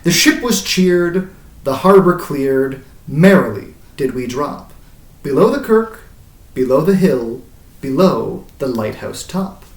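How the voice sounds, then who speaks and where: A man's voice: on the high side, animated, slow. A man voicing a haunted, grey-bearded old sailor who recounts his voyage in dramatic, incantatory verse that swells and falls away. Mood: grave, intense. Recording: roomy echo, distant mic.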